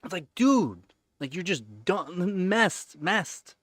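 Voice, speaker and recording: A man's voice: high voice, big pitch swings, slow, a bit bright, no room sound. A man in his thirties chatting casually on a livestream, answering viewers' questions in a rambling way, with many fillers and repeated words. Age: 30s. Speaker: livestream host. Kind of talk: casual live-stream chat answering viewers.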